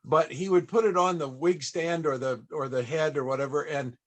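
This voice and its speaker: A man hosting a panel, asking questions in a casual, halting, conversational way. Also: fairly high pitch. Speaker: panel host and interviewer. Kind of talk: casual interviewing.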